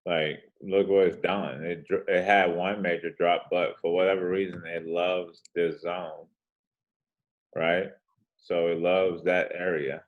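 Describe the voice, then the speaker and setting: A man's voice: sits low, little pitch movement, a little slow, phone-quality sound. A man with a soothing voice casually walking through trading charts, laid-back and slangy with rambling asides. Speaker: forex trading host.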